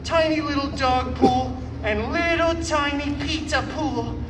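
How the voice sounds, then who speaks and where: An actor playing a flamboyant, teasing wisecracking comic-book antihero who tells a story in a theatrical, gushing, mock-sentimental way at a live stage show. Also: male voice, very high-pitched, unhurried, noisy background. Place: outdoor theme park show, recorded from audience. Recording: echoey, distant live outdoor recording, music behind.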